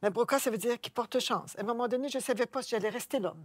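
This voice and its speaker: A woman's voice: on the high side, fast, no echo. A woman with a Québécois accent telling personal stories in an earnest, emphatic way. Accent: Québécois French. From Quebec. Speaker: discussion participant. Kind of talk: personal storytelling.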